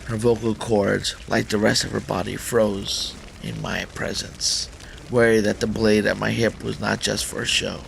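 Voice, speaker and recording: A man's voice: lowish voice, flat delivery, unhurried, gravelly, a bit bright, poor audio. A man hosting a gaming channel, reading a visual novel aloud as dramatic narration, with faint noise in the recording.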